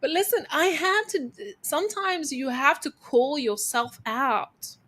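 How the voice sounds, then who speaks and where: A woman with a fairly high voice speaks as a guest on an interview show. She tells her own story earnestly and turns it into advice, repeating phrases for emphasis. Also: expressive, measured pace. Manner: calls herself quiet-voiced. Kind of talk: reflective motivational storytelling.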